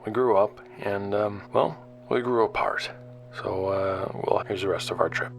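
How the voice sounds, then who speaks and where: A man playing a reflective adventurer who narrates his trip in an audio drama, halting and confiding as he goes. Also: fairly low pitch, steady tone, creaky, soft background music. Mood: hesitant, reflective. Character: reflective male adventurer recounting a trip, awkwardly candid.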